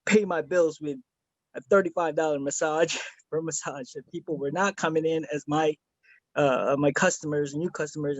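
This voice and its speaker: High-voiced earnest man on a video call. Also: no echo, low-quality recording. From Philippines. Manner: earnest, rambling with many fillers and restarts. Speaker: guest giving a testimonial. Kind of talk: casual video-call chat.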